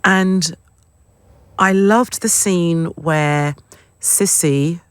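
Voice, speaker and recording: A woman hosting a conversational radio interview, putting her points in an expressive, storytelling way. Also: lowish voice, drawn-out words, smooth, dry recording.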